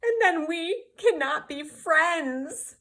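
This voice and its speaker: A woman's voice: extremely high pitch, expressive, slow pace, heavily acted. Lady doing a whiny sneezy boy elephant, super dramatic, voice jumping all over. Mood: woeful. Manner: wailing, sneezes loudly. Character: anxious sneezy male elephant, dramatic whiner.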